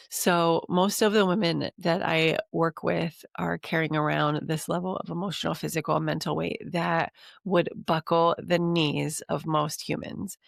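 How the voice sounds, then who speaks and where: A woman's voice: low voice, creaky, no room sound, studio quality. A woman hosting a coaching podcast, talking earnestly through a cold, with a congested voice. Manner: earnest, encouraging, repeats lists for emphasis. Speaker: podcast host. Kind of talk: coaching monologue.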